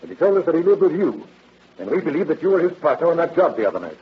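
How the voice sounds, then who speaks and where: A man playing a brisk, businesslike police captain in 1930s radio style, with clipped, authoritative questioning on an old recording. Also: a little high, sing-song, slightly rough, phone-quality sound, exaggerated voice. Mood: stern.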